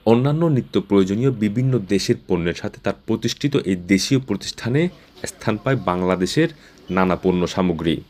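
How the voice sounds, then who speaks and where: A man with a slightly low voice narrates a news feature. He reads from a script as a reporter, in formal broadcast wording. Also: animated, a little fast, a little mellow, slight background noise.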